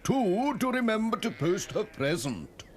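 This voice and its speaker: A man's voice: high-pitched, very animated, measured pace, voice pushed up. A man voicing an absent-minded, pious village vicar with a dithery, exclaiming manner and an English accent. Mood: flustered relief. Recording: animal and vehicle noise behind.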